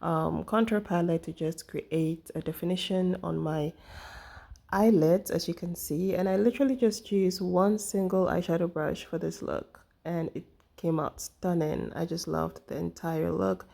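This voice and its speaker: A young woman talks through her makeup routine in a relaxed, chatty way, full of little fillers. Her voice sits low and sounds husky and gravelly because she is getting over the flu. She comes across as an easygoing beauty vlogger. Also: measured pace. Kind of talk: casual makeup tutorial talk.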